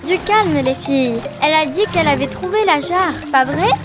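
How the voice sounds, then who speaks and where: High-voiced, calm little cartoon girl. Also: normal speed, noisy background. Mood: calm, pleased. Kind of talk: French cartoon dubbing. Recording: music behind the voice.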